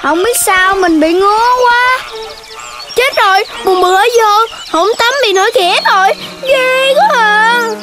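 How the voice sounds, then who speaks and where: A chubby little cartoon pig with a very high, childlike voice. The piglet is playful and easily scared, speaks with a southern Vietnamese accent, and has music playing behind. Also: a little slow. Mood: worried.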